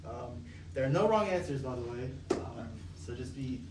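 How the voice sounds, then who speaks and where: A man's voice: mid-range voice, lively intonation, normal speed. A young man moderating a student focus group, reading the rules with many ums and uhs. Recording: roomy, distant mic, noisy ambience.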